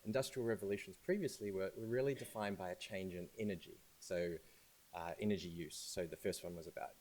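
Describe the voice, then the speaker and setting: A man's voice: deep voice, brisk, a little mellow, a little hiss or hum. A man with a New Zealand accent, a digital sciences researcher and consultant, giving a thoughtful, somewhat hesitant talk at a launch event. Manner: thoughtful, hesitant with fillers and restarts.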